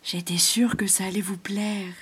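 A woman voicing a gentle, weary male python who speaks in a soft, breathy whisper. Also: mid-range voice, steady tone. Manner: slow, hushed and plaintive.

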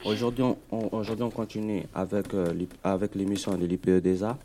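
A man from the Congo, French learner, hosting a school radio show in a halting way with restarts. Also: lowish voice, level tone, warm tone, slight background noise. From Democratic Republic of the Congo. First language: Lingala. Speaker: student radio host.

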